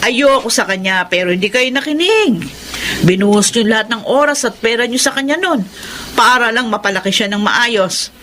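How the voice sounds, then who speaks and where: A woman's voice: fairly high pitch, lively intonation, moderate pace, bright, forceful tone, slight background noise. A woman playing a blunt, resentful grown daughter with an emphatic, scornful delivery in a radio drama. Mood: bitter, scolding. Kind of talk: Tagalog radio drama acting.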